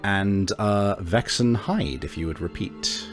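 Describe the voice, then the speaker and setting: A man narrating a tabletop game as game master, in halting, deliberate phrases. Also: on the low side, lively intonation, faint music behind.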